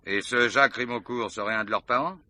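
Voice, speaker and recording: An actor playing a probing, authoritative police commissioner in a French radio mystery, questioning briskly and correcting people. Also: male voice, mid-range voice, pushed and bright. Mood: probing, pensive. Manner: inquisitive, brisk, corrects others.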